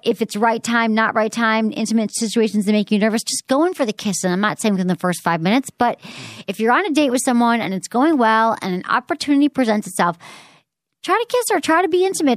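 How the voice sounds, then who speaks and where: A woman's voice: a little high, no echo, clean studio sound. A woman hosting an advice podcast, chatting casually and coaching listeners in an upbeat way. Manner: upbeat, coaching, reads listener letters aloud.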